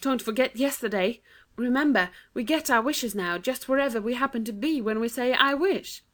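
A woman's voice: on the high side, normal speed, a bit bright, pitched above the performer's own voice, natural voice. A woman voicing a sensible, cautious girl who speaks earnestly. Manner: earnest, warning.

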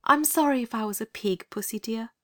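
A woman's voice: on the high side, a little fast, lightly acted. A woman playing a kind, earnest young girl in a dramatized children's audiobook, with a coaxing, conciliatory manner. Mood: apologetic.

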